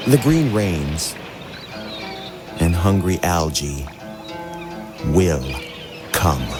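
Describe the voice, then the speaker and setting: A man reading fragmentary poems in a slow, measured way. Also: sits low, big pitch swings. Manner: measured, fragmentary, trailing into whispers. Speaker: poetry reader. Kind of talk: slow poetry reading over a soundscape. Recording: voice over loud music bed.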